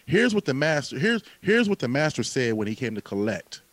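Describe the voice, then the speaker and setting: A man in his late thirties in a casual podcast conversation, talking with animated emphasis, repeating phrases and using casual slang. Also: medium pitch, a little fast, dry recording. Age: late 30s.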